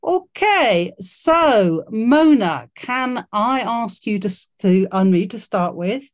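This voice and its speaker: A woman's voice: fairly high pitch, lively intonation, slow. Woman hosting an online careers workshop. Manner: encouraging, many fillers and restarts. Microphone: video call mic.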